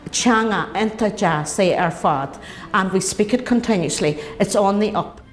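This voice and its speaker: A woman's voice: mid-range voice, measured pace, over loud music. A woman with an Irish accent, a tour guide from Ireland speaking earnestly and proudly in an interview.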